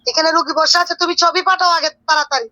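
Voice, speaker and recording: Woman, self-styled tantric healer on a call; high, bright, brisk and bossy. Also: fairly even intonation, highs cut off. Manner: brisk and commanding, talks down to the caller. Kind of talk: phone consultation.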